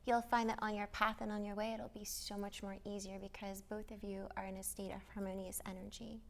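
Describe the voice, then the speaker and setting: A woman speaking as a guest psychic, giving a conversational spiritual reading in a gentle, encouraging, earnest manner. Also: mid-range voice, level tone, brisk, gravelly, slight background noise.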